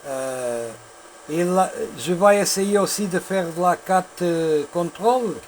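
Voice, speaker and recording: A man with a Portuguese accent, not speaking his native language, chatting as a radio operator in a halting way, searching for words. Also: high voice, a little mellow, a little hiss or hum. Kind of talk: radio contact chat, technical talk.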